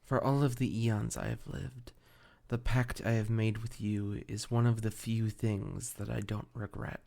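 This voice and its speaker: Male actor as an ancient fey familiar, lowish gravelly voice, formal archaic wording, tender and devoted, intimate fantasy roleplay audio. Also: flat delivery, mellow. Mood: earnest, devoted. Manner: formal, archaic, measured diction.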